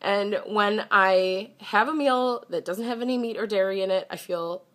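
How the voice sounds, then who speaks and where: A woman's voice: mid-range voice, moderate pace, fairly bright tone. Congested young woman vlogging candidly. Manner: candid, slightly defensive, chatty. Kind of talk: casual talk to camera.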